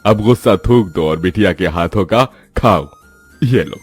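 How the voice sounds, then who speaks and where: A kindly village father, played by a man, with a low and husky voice. He is mild and placating in cartoon fable style, over music. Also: unhurried. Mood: soothing. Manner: soothing, placating. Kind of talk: Hindi cartoon moral story voice.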